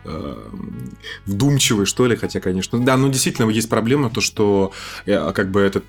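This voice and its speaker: A man's voice: fairly low pitch, normal speed, slightly rough, faint music behind. A man in a casual film discussion on a podcast, hesitant and qualifying as he searches for words. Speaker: podcast co-host.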